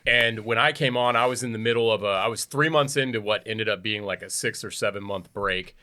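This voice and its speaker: A man's voice: lowish voice, fairly quick, background noise. A man talking as a podcast guest in casual chat, laid-back and friendly, calling people "dude" a lot.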